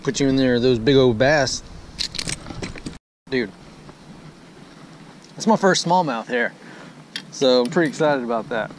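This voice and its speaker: American male angler, folksy and repetitive, mid-range voice, casual vlog chatter over clear outdoor noise. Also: mid-range voice, a little slow, long pauses. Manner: playful, folksy, talks to fish and lures, repeats himself. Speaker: angler filming a fishing vlog. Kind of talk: casual running chatter while fishing. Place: outdoors on a bass boat on a lake. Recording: noisy outdoor boat audio, picks up everything.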